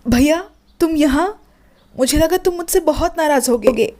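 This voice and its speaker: Woman as a meek young bride; very high, soft, pleading. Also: a little slow. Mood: surprised.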